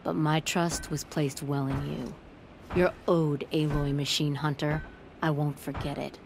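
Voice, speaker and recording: A gruff cocky forge woman in a video game, slightly low voice, proud n teasing, bit of background noise. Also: little pitch movement, a little slow. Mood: wry, proud. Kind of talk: video game character acting.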